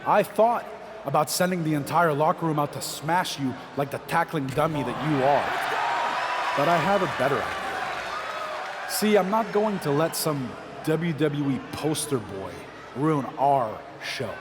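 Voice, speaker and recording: A man's voice: fairly high pitch, lively intonation, measured pace. An actor playing an indignant male leader of a rebel wrestling roster, delivering a scornful, challenging promo in a video game. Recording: echoing arena with crowd noise.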